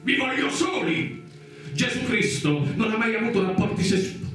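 A greedy, sanctimonious priest in satirical radio theatre. His voice is fairly high for a man, pompous and preachy, sliding into chant and exclamation. He rings out from some distance in a very reverberant space, with faint music behind. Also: expressive, moderate pace, fairly bright tone. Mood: stern, scolding.

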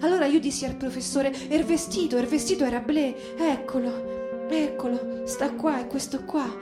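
Actress for working-class widow, Roman accent, high voice, stage monologue full of grief and stumbling repetition; roomy sound, guitar underneath. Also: female voice, lightly acted. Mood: sorrowful recognition. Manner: halting, insistent, repeating words and phrases. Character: grieving working-class Roman widow recounting identifying her husband's body. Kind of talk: dramatic theatrical monologue with music. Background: plucked guitar music.

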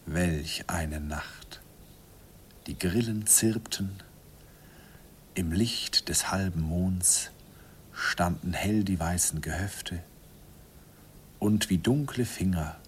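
A man's voice: deep voice, slow pace, long pauses. An actor playing a wistful man narrating his youthful romance in a radio play, lyrical in manner. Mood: hushed, rapt. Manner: lyrical, animated storytelling. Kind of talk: dramatic first-person radio play narration. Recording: slightly noisy old radio recording.

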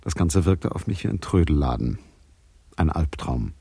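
An actor playing a laconic, world-weary private detective in a radio drama, speaking in a dry, sardonic manner. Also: male voice, extremely low pitch, expressive, normal speed, dark tone, husky, dry recording, heavily acted. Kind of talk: radio drama acting and first-person narration.

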